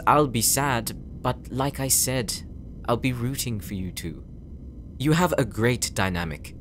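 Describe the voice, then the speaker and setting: An actor playing an earnest, insecure young knight in an audio roleplay, speaking gently and hesitantly, as if confiding in his sister. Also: mid-range voice, lightly acted. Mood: bittersweet, sincere. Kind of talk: audio roleplay drama, intimate monologue.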